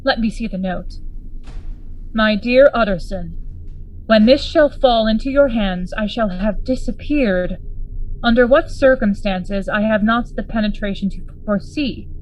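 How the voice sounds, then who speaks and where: Woman playing a grave, high-voiced Victorian investigator, noisy backdrop. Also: unhurried, lightly acted. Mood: bewildered, solemn. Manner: urgent, formal, dramatic. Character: grave, commanding Victorian friend investigating a horror. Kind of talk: gothic radio drama acting.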